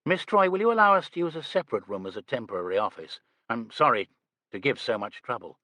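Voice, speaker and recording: A man as a polite, measured police superintendent. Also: medium pitch, very animated, pushed and bright, no room sound. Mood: courteous. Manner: courteous, measured.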